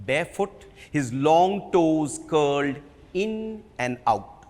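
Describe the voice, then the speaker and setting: High-voiced Indian man narrating dramatically. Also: animated, a little mellow. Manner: theatrical, deliberate phrasing. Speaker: theatre performer reading from a book. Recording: reverberant hall.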